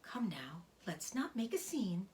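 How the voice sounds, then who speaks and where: Woman doing a gentle old-soul spider for a kids storybook, calm, tired, breathy, slow and hushed. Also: mid-range voice, slight background noise. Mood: quietly firm. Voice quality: soft, breathy, often whispered. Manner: slow, measured, soothing delivery. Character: gentle, weary dying female spider, calm and wise. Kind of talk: children's storybook read-aloud.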